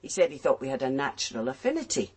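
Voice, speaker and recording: An actress playing a cool, teasing Dutch police detective with a low, husky voice in a radio crime drama. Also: female voice, sing-song, quick pace, darkish tone, heavily acted. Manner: dry, teasing, evasive.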